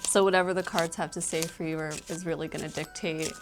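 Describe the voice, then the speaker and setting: A woman working as a tarot card reader, talking casually and tentatively as she gently explains a spiritual reading. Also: deep voice, fairly even intonation, quick pace, noisy background.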